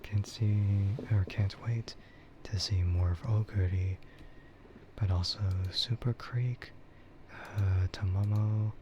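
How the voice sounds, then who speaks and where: A man streaming ASMR in a soft, breathy whisper, chatting casually and unhurriedly in a meandering way that trails off. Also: on the low side, steady tone, slow pace. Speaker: streamer hosting an ASMR livestream. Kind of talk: ASMR, rambling casual chat about games and anime. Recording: muffled lo-fi sound with clear noise.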